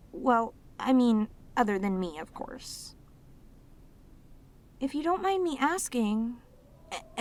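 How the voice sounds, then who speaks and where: A woman's voice: high-pitched, a little mellow, exaggerated voice. A woman voicing a lonely, sweet, possessive demoness in an intimate roleplay audio drama, speaking tenderly and hesitantly to the listener. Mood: gentle, hesitant. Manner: tender, hesitant, slightly stammering, clingy, emotive. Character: lonely, sweet, possessive female demon, sealed away, obsessively devoted.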